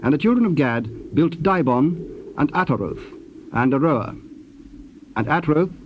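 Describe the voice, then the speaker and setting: A man narrating scripture in a formal, measured way. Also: a little high, animated. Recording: dull, noisy old cassette tape.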